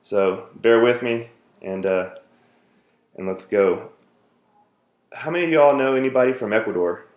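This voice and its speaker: A man's voice: medium pitch, a little slow, pauses often, a little mellow, reverberant. A man in his thirties, from the United States, giving an earnest self-introduction speech for a class, with many fillers and restarts.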